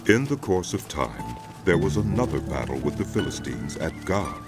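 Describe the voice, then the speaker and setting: A man's voice: rumbling low, sing-song, normal speed. A man narrating dramatized scripture in a solemn, storytelling manner. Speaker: Bible narrator. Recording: music behind the voice. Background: background music.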